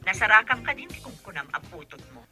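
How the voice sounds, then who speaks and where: A woman playing a sharp, needling relative in a radio drama, with pointed, taunting delivery. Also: mid-range voice, very animated, fast, faint music behind. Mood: taunting, suspicious. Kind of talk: Ilocano radio drama acting.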